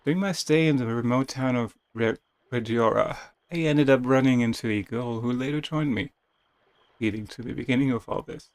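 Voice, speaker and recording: A man's voice: medium pitch, normal speed, no echo. A man reading a video game's story aloud as a dramatic narration, with playful casual asides. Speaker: video game streamer reading game text aloud.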